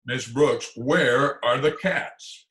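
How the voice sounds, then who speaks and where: A man's voice: mid-range voice, very slow, background noise. A man playing a flustered, pompous middle-aged school principal in old-time radio comedy style, blustering and indignant. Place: indoors, recorded remotely over video call. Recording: roomy remote video-call recording.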